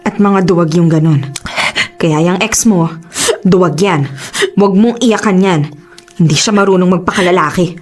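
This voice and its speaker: A woman with a Filipino accent playing a blunt, protective, exasperated best friend, emphatic and expressive, in a radio drama. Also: lowish voice, normal speed, long pauses, close to an everyday voice. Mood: scolding.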